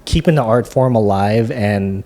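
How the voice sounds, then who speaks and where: A man's voice: lowish voice, a little slow, dark tone. A man co-hosting a podcast and talking as a DJ in casual, slangy, earnest chat peppered with swearing. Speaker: podcast co-host and DJ.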